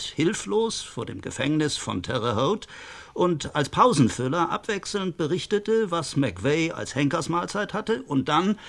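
An actor playing a wry, eloquent sociologist as a studio guest in a radio drama, ironic and self-assured. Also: male voice, fairly high pitch, gravelly, a bit bright, full character voice.

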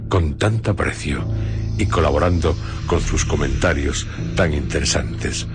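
A man with a Castilian Spanish accent hosting a radio show, narrating a script in a solemn, theatrical, deliberate way with pauses. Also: extremely low pitch. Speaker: radio host. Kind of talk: dramatic scripted radio narration introducing horror and adventure stories. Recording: music bed under studio radio voice. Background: music.

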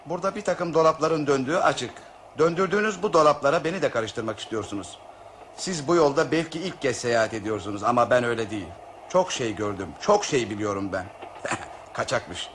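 An actor playing a talkative, suspicious and self-important coffee waiter on a train in a radio drama. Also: male voice, mid-range voice, a little fast, a bit bright, natural voice. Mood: dismissive, wary. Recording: noisy radio play recording.

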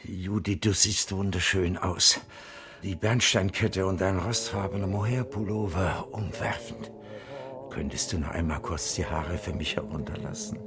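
An actor playing a frail, morbid old widower in a radio drama, courtly and old-fashioned with a spiteful streak and breathy asides. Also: male voice, deep voice, a little slow. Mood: enraptured. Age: older.

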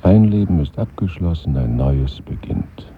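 Ein Schauspieler spricht in einem Hörspiel den inneren Monolog eines grüblerischen, morbid träumenden Mannes, bruchstückhaft und sinnierend. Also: male voice, extremely low pitch, lively intonation, background noise. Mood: morbid, musing. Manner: slow, fragmentary, musing. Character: brooding man in dreamy, morbid inner monologue. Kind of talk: literary radio play monologue. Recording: dull 1970s radio recording, music behind.

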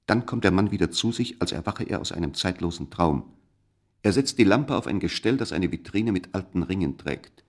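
Deep-voiced male radio play narrator. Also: expressive, normal speed.

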